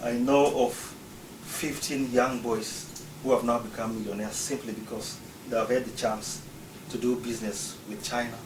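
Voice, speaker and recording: A man's voice: mid-range voice, little pitch movement, normal speed, background noise. A man from Uganda giving a prepared talk, explaining his points one by one in order. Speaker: speaker. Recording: roomy, distant mic, faint ambience.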